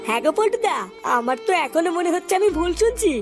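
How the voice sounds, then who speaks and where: A woman voicing an excitable, cheeky cartoon son with a whiny, exclamatory delivery. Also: very high-pitched, fast. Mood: worried. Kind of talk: comic cartoon dialogue. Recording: faint music behind the voice.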